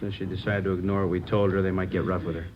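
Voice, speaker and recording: A dull, faintly hissy old radio recording carries a man acting an American police captain. He is formal and authoritative, with a low voice and a brisk delivery in 1950s crime drama manner. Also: level tone, lightly acted. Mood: cautious. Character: formal, measured male police captain in charge.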